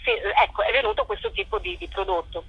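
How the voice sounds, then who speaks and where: A woman answering interview questions as a playwright and performer, in a colloquial, animated storytelling way. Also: mid-range voice, quick pace, slightly rough, dull audio.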